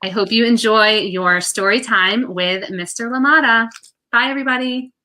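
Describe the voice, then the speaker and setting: Bright-voiced woman giving a prepared message. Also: on the high side, moderate pace. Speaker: children's book author and art teacher. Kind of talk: prepared video message to viewers.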